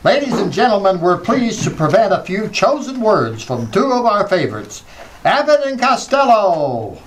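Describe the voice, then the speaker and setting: A man announcing an old-time style radio show. His voice sits fairly high and moves with lively inflection. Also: normal speed, a little hiss or hum, low-quality recording.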